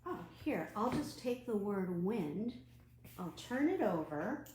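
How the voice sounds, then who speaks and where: A woman leading an online children's storytime and craft from home, animated and putting questions to young viewers. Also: mid-range voice, slow. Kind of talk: children's storytime read-aloud and craft demonstration. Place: at home, indoors. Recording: roomy echo, faint background noise.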